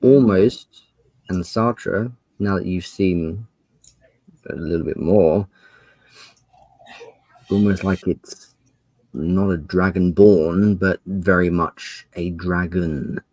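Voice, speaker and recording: A man's voice: low voice, takes it slowly, long pauses, a little mellow, no echo, low-quality recording. A man narrating a tabletop role-playing game as game master, describing scenes and asking the players for rolls.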